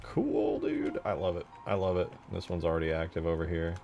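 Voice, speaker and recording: A man's voice: low-pitched, flat delivery, fast, a little mellow, highs cut off, slight background noise. A man giving casual, rambling commentary as a game modder, thinking aloud in slangy, informal speech. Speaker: game modder showing work in progress.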